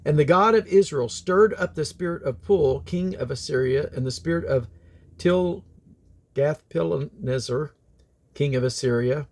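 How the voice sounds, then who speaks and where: A man reading the Bible aloud in a solemn, deliberate, phrase-by-phrase delivery. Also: fairly high pitch. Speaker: scripture reader.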